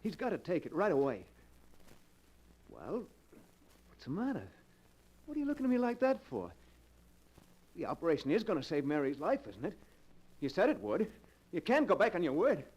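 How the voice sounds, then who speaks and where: An actor playing a nervous, guilt-ridden middle-aged husband in an old-time radio drama, stammering and pleading. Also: male voice, a little high, huge pitch swings, moderate pace, long pauses, darkish tone, breathy edge, exaggerated voice, low-quality recording. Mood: frantic. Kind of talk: 1940s radio acting.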